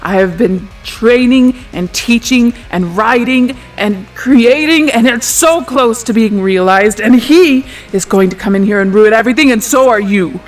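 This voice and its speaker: A performer playing an obsessive, secretive, wounded male genius in a hushed, intense, halting manner for a tabletop roleplay. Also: mid-range voice, soft background music, natural voice.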